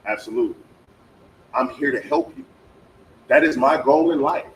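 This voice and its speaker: A man's voice: on the high side, normal speed. Emphatic man in an echoey room. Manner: emphatic, earnest, agreeing. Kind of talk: casual group discussion. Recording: roomy, distant mic with faint noise.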